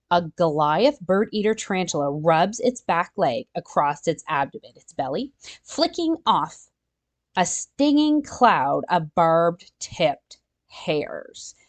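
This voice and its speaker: A woman's voice: on the low side, a little mellow. A woman reading a children's nonfiction picture book aloud, expressively, with chatty asides and exclamations to her young listeners. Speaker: children's storytime reader.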